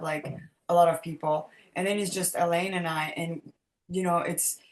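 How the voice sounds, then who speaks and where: A young woman from France, a singer, talking casually and loosely with many fillers on a video call. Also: on the low side. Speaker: singer, interview guest. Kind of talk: casual interview talk.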